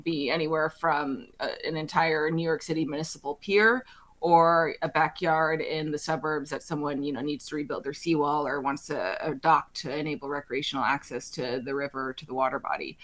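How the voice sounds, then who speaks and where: Low-voiced woman, thoughtful architect guest. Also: fairly even intonation, brisk, a bit bright. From Alaska. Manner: thoughtful, frequent restarts and fillers, laughs lightly; speaks with conviction in a lowered register. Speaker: architect and firm CEO, guest. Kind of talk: casual interview conversation.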